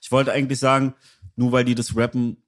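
A man's voice: mid-range voice, flat delivery, fast talker. A man in a casual chat, speaking hesitantly and restarting his sentences.

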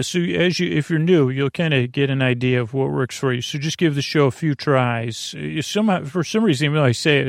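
Creaky-voiced man rambling on a bedtime podcast. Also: medium pitch, dry recording, clean studio sound. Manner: meandering, halting, self-interrupting; gently absurd, mildly humorous. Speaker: podcast host. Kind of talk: rambling scripted-loose bedtime podcast monologue.

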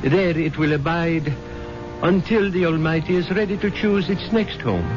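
A man's voice: on the high side, moderate pace, natural voice. An actor playing a grave mystical holy man, intoning a eulogy like an incantation in a 1970s radio drama. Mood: solemn, prophetic. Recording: muffled vintage radio broadcast, music behind.

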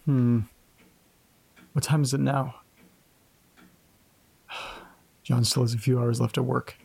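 A man acting in a naturalistic audio drama as a laid-back, sleepy, awkwardly friendly guy with a gravelly, husky voice. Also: medium pitch, little pitch movement, slow pace, darkish tone, heavily acted. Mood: drowsy, casual. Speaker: lead actor in horror audio drama.

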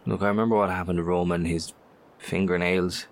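An actor playing a cautious, worried male professor in a horror audio drama, speaking in a measured, tentative and earnest way. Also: deep voice, steady tone. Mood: worried, probing.

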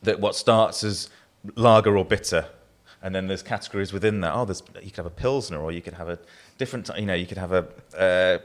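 A man's voice: low voice, quick pace. A man with a southern English accent, a brewer being interviewed, who talks in a rambling way with many restarts. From southern England.

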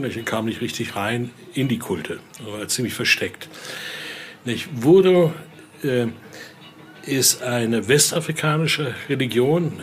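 A man's voice: mid-range voice, a bit bright. A man explaining things informally as a guide, often checking in with short tags at the end of phrases, recorded in an exhibition room. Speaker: museum guide and expert. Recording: clearly noisy indoor ambience.

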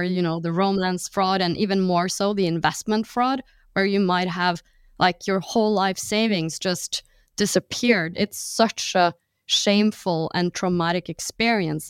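A woman hosts a panel conversation in a clear, resonant voice. She sits a bit low in pitch and feels her way thoughtfully through each point. The sound is dry and close. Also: no room sound. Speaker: podcast host.

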